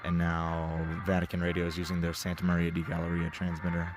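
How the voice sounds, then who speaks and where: A man's voice: low-pitched, monotone, fast talker. A teenage man giving casual spoken commentary as a radio hobbyist, recorded outdoors. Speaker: shortwave radio hobbyist narrating a bandscan. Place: outdoors at night. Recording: clear background noise.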